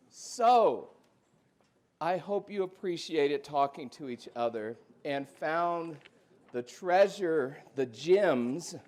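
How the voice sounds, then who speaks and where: Moderator, man, somewhat high voice, casual and direct. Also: darkish tone. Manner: informal, direct, calls on tables. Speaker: event moderator.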